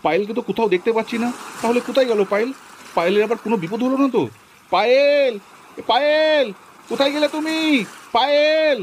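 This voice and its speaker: একজন পুরুষের করা স্ত্রীকে খুঁজে ফেরা স্বামী. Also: male voice, sits high, very fast, slight background noise, lightly acted. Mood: anxious. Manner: calling out loudly, anxious and urgent. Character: devoted young husband searching for his wife. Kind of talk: amateur home-video drama.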